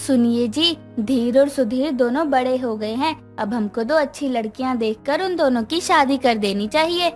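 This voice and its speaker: A woman's voice: high voice, normal speed, hardly any pauses, soft background music, natural voice. A woman acting a meek, long-suffering middle-aged wife and mother in a narrated moral story.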